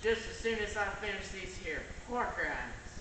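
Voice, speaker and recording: A man's voice: high-pitched, lively intonation, moderate pace, sharp tone. A man playing a crotchety, boastful old backwoods man with a folksy, grumbling drawl in stage reader's theater. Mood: suspicious, gruff. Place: large echoey room, school performance. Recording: echoey, distant, noisy school stage recording.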